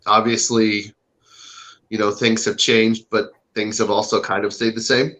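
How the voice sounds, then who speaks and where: A man giving a casual interview answer as a guest, halting as he searches for words on a video call. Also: fairly low pitch, flat delivery, a bit bright. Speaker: stage play cast member, interview guest.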